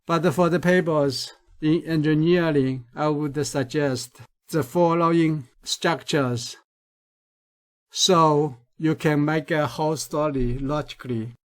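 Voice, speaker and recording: Chinese-accented male researcher, lecture voice-over, unhurried and careful, slightly raised pitch. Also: fairly even intonation. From China. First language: Mandarin Chinese. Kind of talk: recorded lecture.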